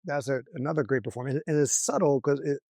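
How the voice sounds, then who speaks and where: A man's voice: medium pitch, fast talker, darkish tone, no room sound, studio quality. A man hosting a podcast interview, talking in a loose, rambling way with lots of restarts. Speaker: podcast host. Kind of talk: casual interview chat.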